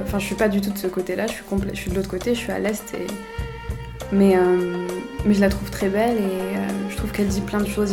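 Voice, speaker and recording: A woman from the Paris suburbs, giving earnest, reflective answers as an interview guest. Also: medium pitch. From suburbs of Paris, France. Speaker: podcast guest, communications consultant.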